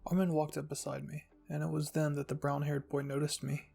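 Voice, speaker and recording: A narrator with a husky, creaky voice reading fan fiction in a soft scripted storytelling style. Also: medium pitch, flat delivery, fairly quick. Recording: music behind the voice.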